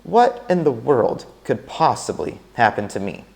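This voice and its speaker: A man's voice: mid-range voice, wildly swooping pitch, a little slow, gravelly, a little mellow, heavily acted. A man reading aloud, voicing a glum, tired husband who grumbles and sighs about being woken. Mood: grumbling. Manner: grumbling, sighing, resigned. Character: weary, glum old husband who wants to sleep.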